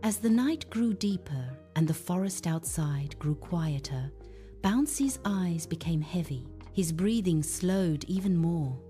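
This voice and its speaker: A woman gently narrating a scripted bedtime story for children in a hushed, soothing, unhurried manner. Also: lowish voice, animated. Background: music.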